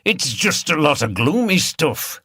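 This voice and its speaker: Man voicing a gruff, bright-edged Yorkshire hill farmer. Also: fairly high pitch, very animated, measured pace, dry recording, studio quality, pitched above the performer's own voice, full character voice. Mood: startled, grumbling. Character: gruff old rustic Yorkshire hill farmer, plain-spoken, grumbling. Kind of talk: audiobook character dialogue.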